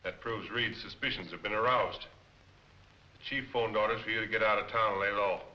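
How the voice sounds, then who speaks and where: An actor playing a cold, domineering racketeer who hands out orders, in 1940s film serial style. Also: male voice, lowish voice, fairly even intonation, fairly quick, pushed and bright, poor audio. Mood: cold, commanding.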